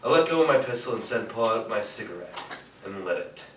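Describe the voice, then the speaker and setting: An actor playing a cool, wry private detective narrating a 1940s-style radio noir on stage, in an echoey room from afar. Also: male voice, medium pitch, lively intonation, brisk, fairly bright tone, lightly acted. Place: theatre stage, recorded from a distance. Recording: echoey stage, distant mic, faint noise.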